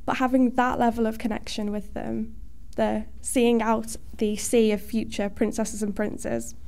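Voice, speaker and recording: A woman's voice: fairly high pitch, level tone, unhurried, gravelly, a little mellow. A woman who performs on stage, chatting casually as an interview guest.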